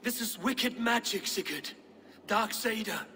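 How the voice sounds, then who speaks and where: An actor playing a fierce, hot-tempered Viking warrior in a game cutscene, forceful and urgent. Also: male voice, sits high, expressive, a little slow. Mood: urgent, angry. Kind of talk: video game cutscene acting. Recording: hall reverb with faint noise.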